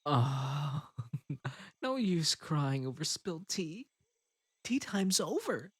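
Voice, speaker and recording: A man voicing an unhinged, possessive male bunny spirit in an audio roleplay, coaxing softly and breaking into giggles. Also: on the high side, sing-song, slow, husky, full character voice. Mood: gloating. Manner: soft coaxing delivery broken by giggles and sudden outbursts.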